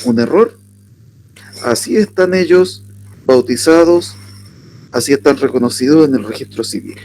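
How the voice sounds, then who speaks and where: A man from southern Chile with a Chilean accent, giving an unhurried workshop lecture and asking his audience questions. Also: on the high side, big pitch swings, a little mellow, slight background noise, low-quality recording. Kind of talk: lecture on surnames.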